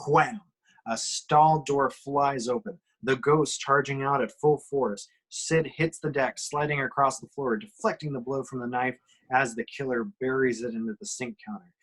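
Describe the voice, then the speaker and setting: A man's voice: mid-range voice, moderate pace, poor audio. A man reading screenplay action lines dramatically at a table read, punching out the sudden action words. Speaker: reader of the action description.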